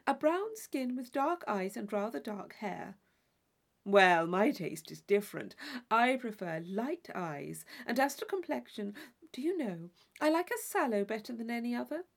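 Woman acting affected young lady, gushing and emphatic, animated swoops, storybook character. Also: on the high side, unhurried, gravelly, heavily acted. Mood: gushing, coy. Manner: theatrical, emphatic exclamations. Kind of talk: dramatised audiobook reading of a classic novel.

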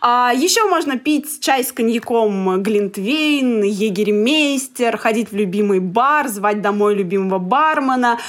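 High-voiced, playful woman hosting a podcast. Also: lively intonation, measured pace, few pauses. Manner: playful, teasing, theatrical. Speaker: podcast host. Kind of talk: playful scripted-sounding intro and casual banter.

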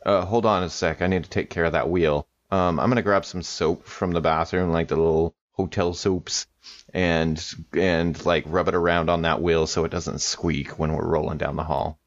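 Very deep male player, slightly dull lo-fi audio, easygoing. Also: fairly even intonation, moderate pace, dry recording. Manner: easygoing, with many fillers. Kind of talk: casual tabletop game play, in character.

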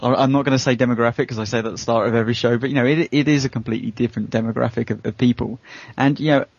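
A man's voice: mid-range voice, fairly even intonation, racing, gravelly, no echo. A man talking casually on a discussion panel, hedging as he makes his points. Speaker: show regular. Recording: dull, lo-fi voice recording.

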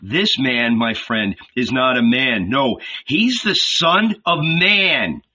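A man voicing an excited, persuasive male shepherd disciple who urgently pleads with a friend. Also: medium pitch, slow pace, breathy edge, full character voice. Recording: dull lo-fi recording.

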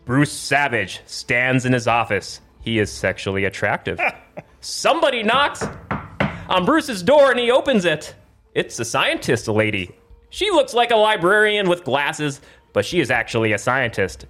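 A man hosting a casual talk show, excitedly rambling with stammers and slipping into hammy script narration. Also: on the high side, a little slow. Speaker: show host. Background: suspenseful crime music playing in the background.

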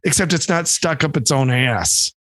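Wry Michigan podcast co-host, mid voice, dry close recording. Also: male voice, fairly high pitch, lively intonation, moderate pace, fairly bright tone, dry recording. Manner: wry, joking. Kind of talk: casual chat. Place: home.

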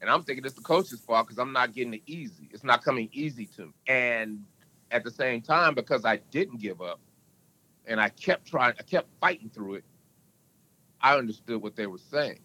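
Animated man telling stories, bright tone. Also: moderate pace, poor audio. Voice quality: can go deep. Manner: animated storyteller, emphatic. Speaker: podcast guest. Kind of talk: casual interview talk.

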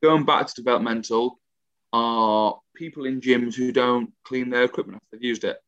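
Animated English man ranting, dry dull sound. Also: medium pitch, moderate pace, no room sound. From England. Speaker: podcast co-host. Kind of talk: casual chat. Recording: dull dry home mic.

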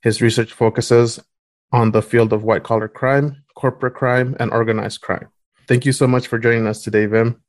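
A man co-hosting a podcast, reading a formal guest introduction in an even way. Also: medium pitch, moderate pace. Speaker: podcast co-host.

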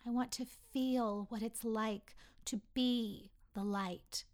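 A woman voicing an eager, innocent little soul with an excitable, wide-eyed childlike manner in a children's story. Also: a little high, slow. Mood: earnest, pleading.

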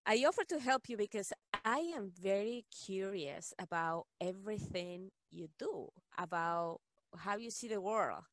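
A woman's voice: mid-range voice, measured pace, a bit bright, dry recording. A woman speaking conversationally as a guest interviewer on a podcast. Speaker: guest interviewer, client of the host.

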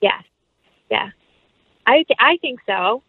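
A woman's voice: on the high side, animated, slow pace, slightly rough, no room sound. Chatty woman on dull lo-fi audio. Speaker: actress, producer and interview guest. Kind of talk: casual interview conversation. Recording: dull, lo-fi, band-limited audio.

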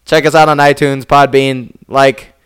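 A man's voice: mid-range voice, level tone, measured pace, fairly bright tone, clear, resonant tone. A young man co-hosting a podcast, chatting casually and laughing often at his own jokes. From Nevada. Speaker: podcast co-host. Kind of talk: casual joking chat.

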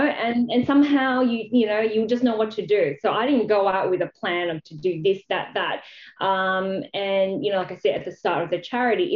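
A woman's voice: medium pitch, a little mellow. Woman in a dull, echoey recording. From Malaysia. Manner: conversational, explanatory, often adds "you know". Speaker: charity founder, interview guest. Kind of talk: casual interview storytelling. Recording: dull, roomy, echoey sound.

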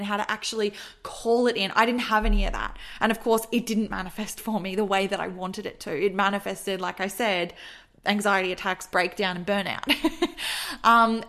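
A woman's voice: mid-range voice, fairly bright tone. A young adult woman hosting a solo podcast, talking earnestly and repeating herself, with many "like"s and "okay"s. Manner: earnest, repetitive, filler-heavy with frequent "like". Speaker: podcast host and mentor.

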